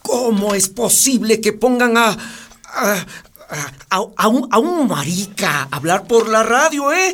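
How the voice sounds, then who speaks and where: An actress playing a stern, homophobic evangelical pastor, preachy and indignant, in a radio drama. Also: female voice, on the high side, animated, unhurried, fairly bright tone. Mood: scandalized.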